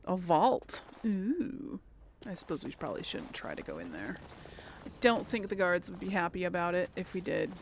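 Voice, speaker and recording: A woman's voice: fairly low pitch, big pitch swings, normal speed, slight background noise. A woman hosting a casual let's play, thinking aloud and often trailing off into mutters. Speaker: gaming video host.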